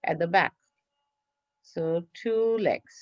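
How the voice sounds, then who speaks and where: Woman, children's craft teacher. Unhurried, encouraging, repeats simple steps. Dull, dry online-class sound. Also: on the low side, no room sound. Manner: encouraging, praising, repeating instructions. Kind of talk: instructional talk to young children, simple step-by-step.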